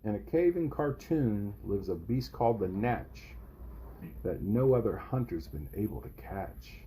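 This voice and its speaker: A man reading a rhyming children's picture book aloud in an expressive, theatrical, playful way, as for a kindergarten class. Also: lowish voice, measured pace, slight background noise. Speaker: storybook reader for kindergarten children.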